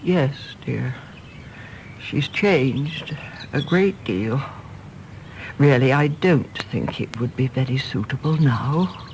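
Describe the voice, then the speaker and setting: An actress playing a gentle, concerned elderly English mother in a radio play, indulgent of her grown son. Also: very deep voice, lively intonation, slow pace, long pauses, slight background noise, heavily acted. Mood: sad, gentle. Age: older.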